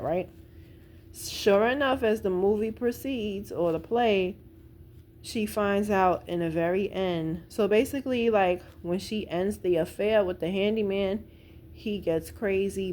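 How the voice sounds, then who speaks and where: A woman's voice: fairly low pitch, a little mellow, slight background noise. An American woman talking casually and informally, retelling a story with her own opinions and slang. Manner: conversational and informal, with slang and acted-out quotes. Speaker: vlogger reviewing a stage play. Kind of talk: casual chat, recounting a plot with opinions.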